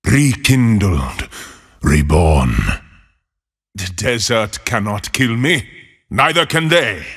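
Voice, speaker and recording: An actor playing a fiery exiled male desert wanderer for a game, with a gravelly, husky voice and a slow, solemn delivery with dry wit. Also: on the low side, lively intonation, fairly bright tone, in a large hall, full character voice. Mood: defiant. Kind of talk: game voice lines.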